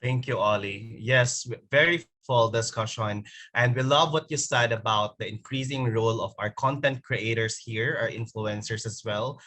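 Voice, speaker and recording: A man hosts an online masterclass, thanking guests and reading announcements in an upbeat, formal way. His voice is bright and forceful. He sounds from the Philippines. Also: medium pitch, moderate pace, few pauses. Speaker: host, founder of the organizing group. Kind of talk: webinar hosting.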